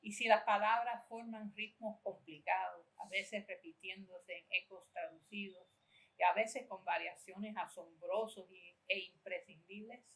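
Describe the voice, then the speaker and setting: An older woman from Puerto Rico reads her own writing aloud as a keynote speaker in a university amphitheater. Her delivery is measured and lyrical, easing back and forth between Spanish and English, with a voice of ordinary pitch for a woman. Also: fairly even intonation, a bit bright, rough recording. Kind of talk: reading aloud from her own memoir-style prose.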